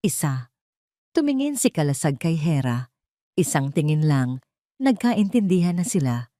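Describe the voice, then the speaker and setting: A female storyteller with a low voice delivers scripted drama narration. She builds suspense dramatically, and the recording is dry with no room sound. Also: big pitch swings, measured pace, dark tone, no echo.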